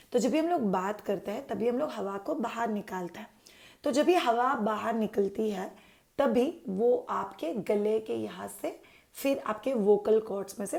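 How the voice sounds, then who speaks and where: A woman hosts a parenting channel and talks parents through baby care in a chatty explainer style. Her voice sits fairly high but has a gravelly, fry-tinged edge and a mellow, dark tone. She keeps posing her viewers' questions aloud and answering them. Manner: chatty, mixes English words into Hindi, answers her own questions.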